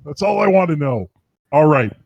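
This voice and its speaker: A man's voice: a little high, lively intonation, moderate pace, darkish tone, dull audio. A man in his thirties reading bad fan fiction aloud with wry exasperation. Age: 30s.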